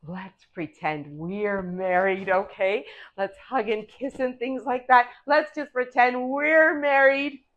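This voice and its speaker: A woman voicing a scheming grown woman who wails and pleads in a shrill, loud voice. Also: steady tone, slow, few pauses, narrow, tinny audio. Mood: coaxing.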